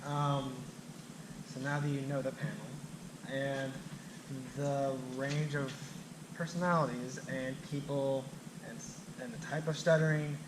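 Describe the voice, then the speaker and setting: A man moderating a public panel, relaxed, with many fillers. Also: mid-range voice, measured pace. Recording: echoey hall with background noise.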